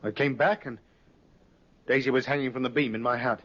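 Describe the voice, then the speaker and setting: A man plays an anxious, guilty-sounding male suspect in a vintage radio play. His medium-pitched voice stammers and halts defensively, on a dull old recording. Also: steady tone, a little fast, fairly bright tone, lightly acted. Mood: shaken, defensive. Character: nervous, guilty male murder suspect pleading innocence. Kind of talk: 1950s radio drama acting.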